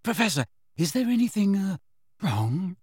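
A shy, concerned schoolgirl as a male narrator puts her on in a storybook. The voice is high and light. Questions come out hushed and hesitant. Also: lively intonation, moderate pace, husky, no room sound, studio quality, heavily acted. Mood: timid, worried.

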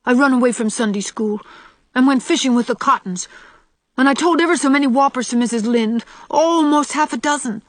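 A woman voicing a remorseful, excitable, impish little boy in a storybook, blurting out childish, emphatic speech. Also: medium pitch, moderate pace, no room sound, voice pushed up. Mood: ashamed, confessing. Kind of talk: audiobook character voice.

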